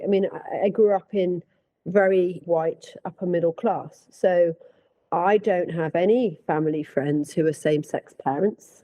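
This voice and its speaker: A woman's voice: lowish voice, mellow, dry recording, rough recording. A woman from Manchester, a parent guest reflecting on her upbringing in a podcast discussion. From Manchester, England.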